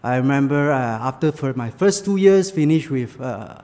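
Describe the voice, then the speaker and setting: A man from Malaysia whose first language is Chinese, preaching a sermon with personal stories in halting English full of fillers. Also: medium pitch, expressive, a little mellow, in a large hall. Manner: halting, many fillers, non-native English phrasing.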